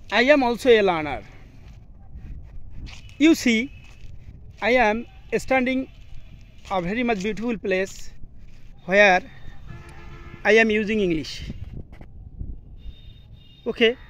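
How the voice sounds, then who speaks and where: A man from Bangladesh, a native Bengali speaker with a Bangladeshi accent, giving an instructional vlog outdoors in halting, simple English. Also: extremely high pitch, fairly bright tone. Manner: halting, simple learner's English with short broken phrases. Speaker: English teacher and video host. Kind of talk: instructional vlog, talking to camera. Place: outdoors, open scenic spot. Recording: outdoor noise, faint birds calling.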